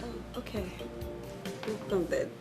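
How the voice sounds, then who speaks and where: A woman's voice: mid-range voice, slow pace, darkish tone, echoey room, faint music behind, close to an everyday voice. An actress playing a wife who tearfully pleads and denies her husband's accusations. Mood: surprised. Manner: pleading, denying, crying.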